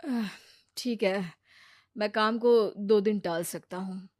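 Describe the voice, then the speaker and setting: A woman voicing a sensible, patient adult man, measured and gently advising, in a cartoon moral story. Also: medium pitch, no room sound, studio quality, natural voice.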